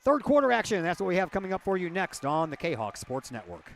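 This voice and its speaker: A hoarse man announcing a high school basketball halftime show from the press box, reading stats and sponsor ads in a chatty way. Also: very animated, fairly quick, loud music behind the voice. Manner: chatty, self-deprecating asides between stat rundowns. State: hoarse, losing his voice. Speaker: play-by-play announcer. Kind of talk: halftime sports broadcast and read ads. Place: gym press box, alone.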